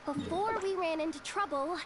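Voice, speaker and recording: Very high-pitched, flustered little scout. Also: female voice, moderate pace, noisy background. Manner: exclamatory, hesitant, flustered.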